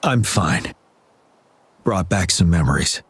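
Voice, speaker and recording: A man playing a calm, solemn young general in a video game, speaking in a measured, grave, formal way. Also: sits low, breathy edge, dry recording, heavily acted. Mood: grave, composed. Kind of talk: scripted video game dialogue.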